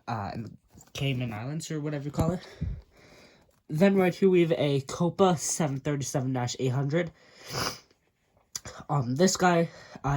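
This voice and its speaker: Congested young guy, kinda high voice, rambling tour with lots of ums. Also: dark tone. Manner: chatty with frequent ums and uhs. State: congested, stuffy voice. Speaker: model airport YouTuber. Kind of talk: casual video tour narration.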